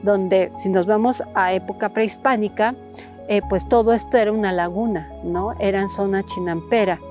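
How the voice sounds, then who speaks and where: A woman's voice: mid-range voice, fairly even intonation, normal speed, a little mellow, soft background music. A woman farmer with a Mexico City accent, talking in a documentary interview.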